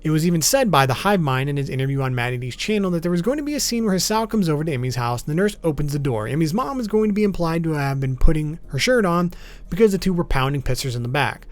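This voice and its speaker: A man's voice: fairly high pitch, lively intonation, fast talker. A man narrating an explainer video as its host, in an informal, matter-of-fact way, with music behind him. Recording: background music under voice.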